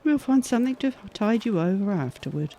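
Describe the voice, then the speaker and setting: A woman's voice: medium pitch, lively intonation, fairly quick, mellow, slight background noise, natural voice. A woman playing a bold, fierce werewolf prospecting in the Old West in a horror audio drama, confident and wry. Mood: teasing.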